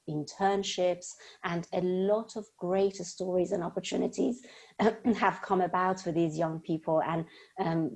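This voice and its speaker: A woman's voice: fairly low pitch, a little mellow. A woman who founded a charity, speaking articulately and passionately on a webinar panel.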